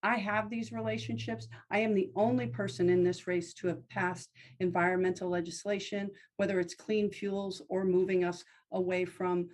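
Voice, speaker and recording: A woman's voice: mid-range voice, level tone, normal speed, hardly any pauses, darkish tone, low-quality recording. An American woman answering as a congressional candidate in a debate, speaking off the cuff and stressing key words. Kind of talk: semi-extemporaneous debate answer.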